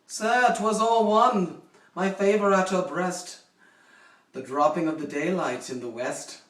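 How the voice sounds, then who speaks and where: Man performing a haughty, slow-speaking duke, distant and echoey. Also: a little high, lightly acted. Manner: theatrical, deliberate, swinging from hushed to forceful. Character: haughty, possessive Renaissance duke, coldly jealous. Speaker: poetry reader. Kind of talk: dramatic poetry recitation. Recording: roomy echo, distant microphone.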